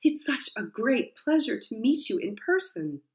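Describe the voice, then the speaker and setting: A woman voicing an excitable middle-aged Bulgarian woman who gushes with admiration. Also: fairly high pitch, unhurried, pitched above the performer's own voice. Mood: gushing. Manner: gushing, effusive.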